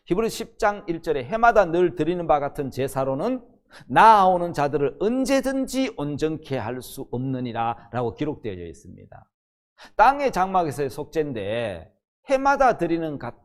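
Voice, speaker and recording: A man's voice: a little high, darkish tone. A man in his sixties, a pastor teaching the Bible in a sermon, emphatic and often posing questions that he answers himself. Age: 60s.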